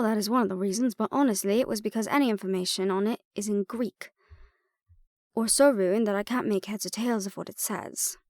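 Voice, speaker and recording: A woman's voice: mid-range voice, darkish tone, dry recording, clean studio sound, natural voice. A woman acting in an audio roleplay as an aristocratic archaeologist, sardonic, self-deprecating and prone to awkward rambling.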